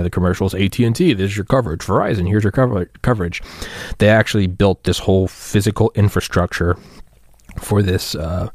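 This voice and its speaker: A man with a low-pitched voice delivers a loose solo podcast rant. He rambles conversationally, peppering it with slang and the odd swear word. The sound is dry, with very little room around the voice. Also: darkish tone, no echo. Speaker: podcast host. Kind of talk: casual solo rant monologue.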